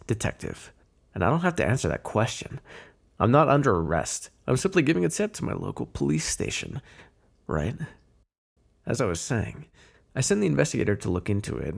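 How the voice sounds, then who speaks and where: A man playing a flirtatious, menacing mob boss in an ASMR roleplay, smooth-talking in a teasing, insinuating way with drawn-out phrasing. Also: medium pitch. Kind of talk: ASMR roleplay voice acting, one-sided dialogue.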